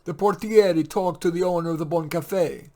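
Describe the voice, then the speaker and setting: A man's voice: fairly high pitch, moderate pace, voice pushed up, lightly acted. A man putting on a teasing Italian maid. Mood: gossipy. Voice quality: giggly, animated. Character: chatty Italian housemaid, older woman, teasing.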